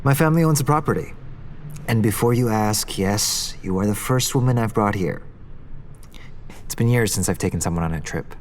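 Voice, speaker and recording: A man playing a young Korean business heir, a sincere and gently teasing suitor in a romantic audio drama. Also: fairly low pitch, animated, normal speed, slight background noise, natural voice. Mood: candid.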